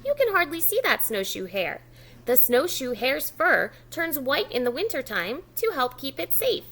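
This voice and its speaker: A woman working as a preschool teacher, reading a picture book aloud expressively and pausing with encouraging, simple prompts for young children. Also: sits high, very animated, fairly bright tone.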